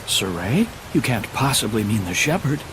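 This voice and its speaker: A man's voice: medium pitch, very animated, normal speed, noisy background, lightly acted. A man voicing a sceptical soldier of the guard in a video game, blunt and teasing. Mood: incredulous. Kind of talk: English game voice acting.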